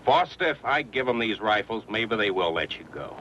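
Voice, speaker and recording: A man's voice: fairly low pitch, expressive, moderate pace, a bit bright. An actor playing a firm, wary American frontiersman with terse, folksy speech in a western radio drama. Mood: wary, reasoning. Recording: muffled lo-fi radio recording, background hum.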